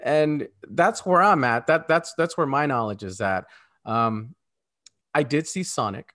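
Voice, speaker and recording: A man's voice: medium pitch, a little slow, sharp tone. A man co-hosting a podcast in casual, opinionated chat, emphatic and repeating phrases for stress. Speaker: podcast co-host.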